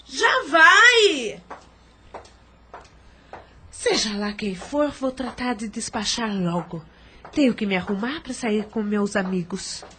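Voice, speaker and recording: A woman's voice: a little high, expressive, takes it slowly, pauses often, pushed and bright, a little hiss or hum, exaggerated voice. A woman playing a flirtatious, haughty socialite in an audio drama, coy and theatrical in manner. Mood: annoyed.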